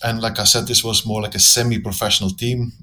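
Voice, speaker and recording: A man from Belgium with a Flemish accent, speaking as a podcast guest in a relaxed, measured way with frequent pauses and ums. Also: lowish voice, noisy background. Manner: thoughtful, measured, with many ums and restarts. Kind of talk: casual interview conversation.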